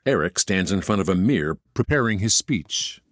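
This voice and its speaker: A man's voice: sits low, expressive, normal speed, a bit bright, no echo, clean studio sound. A man reading stage directions as scripted narration for an audio musical.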